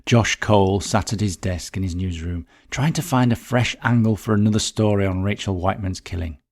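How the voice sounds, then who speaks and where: A man's voice: lowish voice, fairly quick, a little mellow. A man reading a scripted murder mystery story as its narrator.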